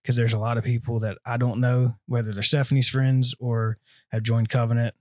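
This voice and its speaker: A man in his twenties from North Carolina, a guest answering interview questions in an easygoing, halting way. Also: medium pitch, level tone, darkish tone, dry recording, studio quality. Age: 20s. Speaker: guest, aspiring missionary.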